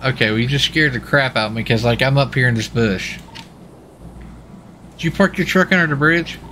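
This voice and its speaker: A man's voice: medium pitch, a little fast, bright, forceful tone, a little hiss or hum. An American man in casual gaming radio chatter, with a folksy, easygoing manner. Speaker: streamer playing a police officer in online roleplay.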